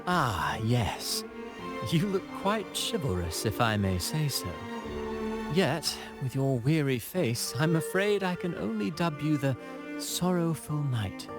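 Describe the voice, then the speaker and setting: An actor playing a courtly, theatrical playwright in a video game, speaking in ornate, pompous phrases with dramatic pauses. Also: male voice, fairly high pitch, very animated, over music, full character voice. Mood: courtly, flattering. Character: theatrical, courtly, flowery male playwright with grand airs. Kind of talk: video game character acting.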